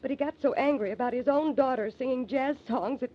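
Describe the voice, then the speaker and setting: An actress playing a distraught, determined young American woman in an old-time radio drama, earnest and halting. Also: high voice. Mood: earnest, confessing. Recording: muffled old radio recording.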